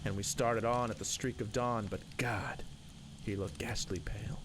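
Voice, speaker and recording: A man's voice: on the low side, fairly even intonation, normal speed. A man giving a theatrical, rhythmic, emphatic recitation of a narrative poem. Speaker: poem reader. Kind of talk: dramatic narrative poetry recitation. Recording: loud background noise and ambience.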